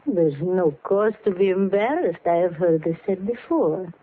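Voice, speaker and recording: A woman's voice: lowish voice, animated, measured pace. An actress playing a beautiful, mysterious woman with a poised, teasing manner in old radio drama. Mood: cool, seductive. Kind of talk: 1940s radio acting. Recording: muffled, noisy old radio recording.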